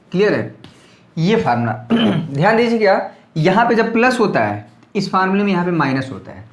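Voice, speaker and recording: A man's voice: on the high side, echoey room. A man teaching a maths lesson at a board with a slightly sore throat, talking casually and often checking that students follow. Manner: chatty, repeats checks like "clear?" to students.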